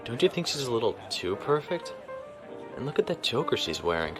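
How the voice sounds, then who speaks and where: A man's voice: mid-range voice, sing-song, a little fast, music in the background, close to an everyday voice. An actor playing a stiff, formal young male naval officer who speaks properly and reservedly.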